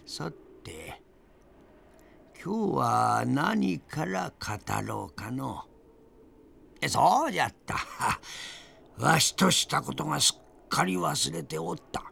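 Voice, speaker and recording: An actor playing a spirited old grandfather in an audio drama, narrating his memories with theatrical, deliberate pauses and a gravelly voice. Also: fairly high pitch, lively intonation, fairly bright tone, exaggerated voice. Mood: musing. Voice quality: gravelly, creaky. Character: spirited old grandfather reminiscing fondly about past adventures.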